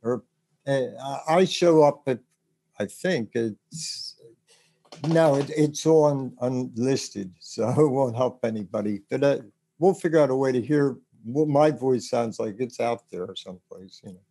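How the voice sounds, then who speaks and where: A man hosting a podcast about the music business, rambling and animated as he gives advice, with a rough voice. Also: medium pitch, a little slow. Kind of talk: casual advice chat.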